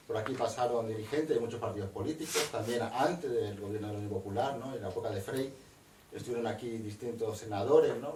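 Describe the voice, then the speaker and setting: A man's voice: mid-range voice, darkish tone. Middle-aged man moderating a lecture. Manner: measured and discursive, with frequent tag questions. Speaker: moderator and historian. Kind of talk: academic introduction of a guest speaker. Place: university library lecture room. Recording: echoey, distant room recording with faint noise.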